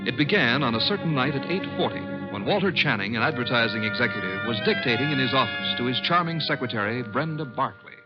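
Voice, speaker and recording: Male vintage radio announcer, highish and sweeping, emphatic delivery, loud music, muffled recording. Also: lively intonation, fast, hardly any pauses. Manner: theatrical, emphatic. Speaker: announcer-narrator. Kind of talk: 1940s radio drama announcing.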